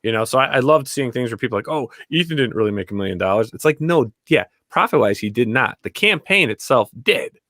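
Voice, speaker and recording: A man's voice: medium pitch, animated, quick pace, few pauses, a bit bright, no echo, studio quality. A man talking bluntly and casually in an opinionated panel chat, repeating his key phrases for emphasis and swearing now and then.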